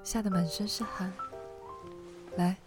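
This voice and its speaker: A woman's voice: medium pitch, fairly even intonation, measured pace, over music, close to an everyday voice. A woman playing an earnest young woman in an audio drama, with an emotive delivery. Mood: tender, soothing. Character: young woman, defiant captive and doting girlfriend.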